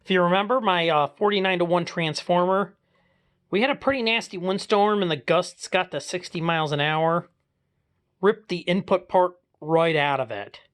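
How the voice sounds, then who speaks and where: An American man with a somewhat high voice presents as an amateur hobbyist. He talks casually, in the manner of a homemade vlog walkthrough of his own gear. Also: normal speed, a bit bright, smooth.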